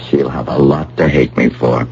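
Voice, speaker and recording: A wary man in over his head on a heist, in a vintage radio drama. His voice is very low, his talk terse and halting. The recording is dull, with a faint hum under it. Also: fairly even intonation, gravelly, a little mellow. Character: uneasy, deep-voiced man drawn into a risky heist. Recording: muffled, humming old radio broadcast recording.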